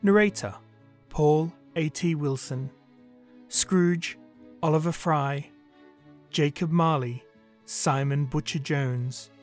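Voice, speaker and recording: A man reading scripted closing credits as narrator, in a formal, measured announcer style. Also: fairly high pitch. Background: music.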